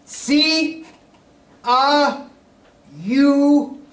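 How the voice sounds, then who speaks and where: A man playing a cheeky, childlike comic sidekick in an old-time radio comedy routine, animated and joking toward each punchline. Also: extremely high pitch, extremely slow, exaggerated voice. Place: stage reader's theatre performance. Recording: echoey stage, distant microphone.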